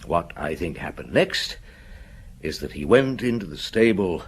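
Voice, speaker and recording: An actor playing a patient, shrewd police detective in a radio drama, coaxing answers in a husky voice. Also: male voice, low-pitched, sing-song, slight background noise, full character voice. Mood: quietly pressing. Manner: probing, coaxing, unhurried questioning. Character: patient, shrewd male police detective gently questioning a boy.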